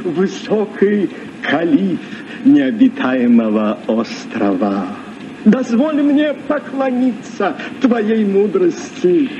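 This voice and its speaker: An actor playing a courteous, grandiose Arabian Nights sailor with a florid, ceremonious manner in an old radio play. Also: male voice, high voice, very animated, takes it slowly, warm tone, exaggerated voice. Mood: grandly courteous. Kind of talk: vintage Soviet radio play acting. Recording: muffled, noisy old radio recording.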